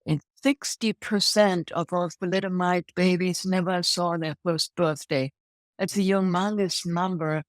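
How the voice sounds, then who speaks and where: A woman's voice: fairly low pitch, steady tone, unhurried, no room sound. German-accented woman in her sixties. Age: 60s. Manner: upbeat, laughs often. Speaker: guest. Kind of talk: interview chat.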